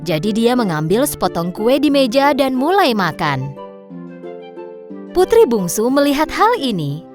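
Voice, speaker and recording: A woman narrating a children's fairy tale in a lilting, expressive storybook manner. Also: a little high, a little slow. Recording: clear music bed underneath.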